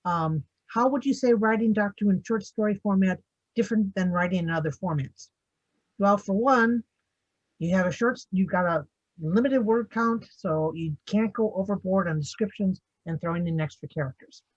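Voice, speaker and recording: A woman's voice: medium pitch, moderate pace. A woman moderating a panel discussion in a conversational way, heard through a dull, lo-fi microphone.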